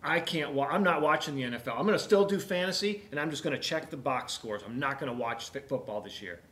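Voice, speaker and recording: A man with a fairly high voice co-hosts a call-in talk show. He chats casually and gives strong opinions, hammering his points by repeating them. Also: fast talker, fairly bright tone. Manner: emphatic, repeats points for emphasis. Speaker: talk show co-host taking calls.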